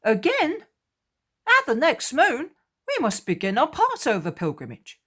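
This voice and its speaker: A woman voicing Peter, an impulsive and fiercely loyal adult male fisherman disciple who speaks loudly and emphatically. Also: extremely high pitch, huge pitch swings, a little slow, pitched above the performer's own voice, exaggerated voice. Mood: weary. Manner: loud, emphatic, raised and heated.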